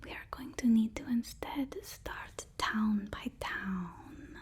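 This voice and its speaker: A woman playing a deferential, flustered personal assistant to a supervillain in a halting, whispered ASMR roleplay. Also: fairly high pitch, exaggerated voice. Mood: placating, hesitant. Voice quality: soft, breathy whisper.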